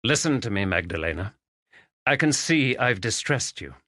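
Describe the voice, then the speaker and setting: A composed, gallant gentleman detective, voiced by the male narrator, firm and courteous with a bright, animated ring to his measured speech. The reading is close and dry. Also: fairly low pitch, dry recording. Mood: formal. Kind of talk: audiobook character voice.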